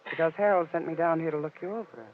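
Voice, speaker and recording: A woman's voice: low voice, rapid-fire, natural voice. An American actress playing a sly, flirtatious, streetwise nightclub woman in a 1940s radio detective drama. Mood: coy, teasing. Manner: coy, teasing, streetwise. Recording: noisy, muffled, lo-fi 1940s radio recording.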